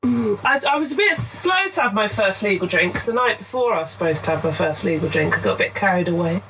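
A woman's voice: medium pitch, animated, fast, background noise. A woman, a guest artist in a casual podcast chat, telling rambling stories and laughing often. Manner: chatty, rambling storyteller who laughs often.

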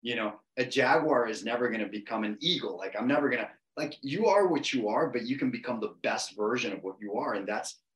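A man's voice: mid-range voice, expressive, brisk, few pauses, fairly bright tone, poor audio. A man in his forties, a podcast guest, talking in a passionate, emphatic way and swearing for emphasis. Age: 40s. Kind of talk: casual interview talk.

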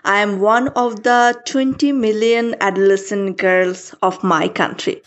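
A teenage girl from Bangladesh reads carefully and very slowly. Her pitch sits in the middle range. The recording is a little dull. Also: steady tone. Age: teens. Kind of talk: reading a pledge.

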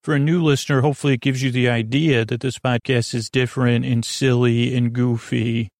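Creaky, meandering man hosting a sleep podcast. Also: mid-range voice, level tone, darkish tone, no echo, studio quality. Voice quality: creaky, gravelly. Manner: meandering, halting, self-interrupting and digressive. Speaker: podcast host. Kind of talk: rambling, goofy sleep podcast monologue.

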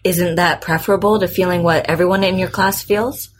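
A woman playing a composed, probing psychotherapist in an audio drama, measured and clinical in her questioning. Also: fairly low pitch, fairly even intonation, slight background noise, close to an everyday voice. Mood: calm, probing. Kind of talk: naturalistic audio drama acting.

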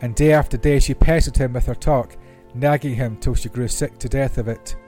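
A man's voice: mid-range voice, steady tone, normal speed, mellow. A man reading Bible stories aloud as scripted narration. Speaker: Bible reader. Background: classical orchestral music.

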